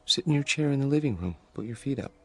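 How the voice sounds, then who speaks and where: An actor playing a meek, awkward grown son in a radio drama, stammering politely, a lonely and secretive dreamer. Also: male voice, medium pitch, lively intonation, fast, husky. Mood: evasive, meek. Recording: music bed under the dialogue.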